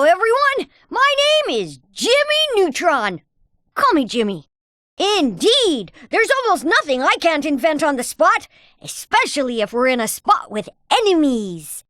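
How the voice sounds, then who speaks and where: High-pitched, brainy cartoon boy inventor. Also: a bit bright, no echo, clean studio sound, full character voice. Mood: eager, confident. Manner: precocious, wordy scientific phrasing. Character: brainy, upbeat boy inventor, earnest and confident. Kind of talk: animated video game voice lines.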